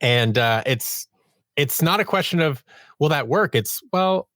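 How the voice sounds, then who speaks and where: A man's voice: on the high side, lively intonation, a little slow, fairly bright tone, no echo, studio quality. A man talking casually as a podcast guest about his craft, explaining things enthusiastically and often voicing imagined quotes. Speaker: audio drama writer and producer, interview guest.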